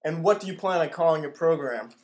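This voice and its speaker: Radio comedy admiral, male performer: slightly high male voice, rambling, pompous, deadpan bluster. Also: fast, lightly acted. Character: pompous, addled retired navy admiral and radio boss, male. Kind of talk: mock old-time radio play.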